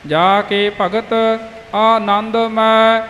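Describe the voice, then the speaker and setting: A man reciting Sikh scripture as a student, in measured, half-sung phrases. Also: sits high, level tone, pushed and bright. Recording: long hall reverb, music.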